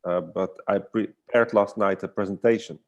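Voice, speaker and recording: Very deep-voiced man, thoughtful and hesitant. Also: fairly even intonation, moderate pace, creaky, muffled recording. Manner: hesitant, searching for words. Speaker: guest artist and storyteller. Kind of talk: casual reflective online conversation.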